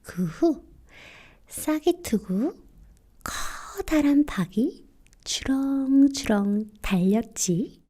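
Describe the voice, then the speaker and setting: A woman narrating children's fairy tales in a soft, breathy, often near-whispered voice, with gentle, expressive phrasing for bedtime. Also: high voice. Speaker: children's storyteller. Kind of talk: quiet bedtime fairy-tale narration in casual storytelling speech.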